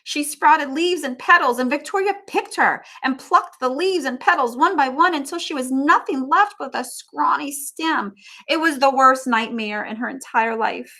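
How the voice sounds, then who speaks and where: A woman reading a picture book aloud in an expressive, animated teacher's manner, with asides to young listeners. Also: high-pitched, moderate pace. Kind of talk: expressive children's story read-aloud.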